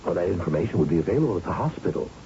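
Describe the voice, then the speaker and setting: Corrupt factory boss in a radio play: patronizing older man, low gravelly voice, swooping delivery, old noisy recording. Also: very animated, racing, warm tone, dull audio, exaggerated voice. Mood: guarded, evasive. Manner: evasive, patronizing, rhetorical. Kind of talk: 1970s radio drama acting.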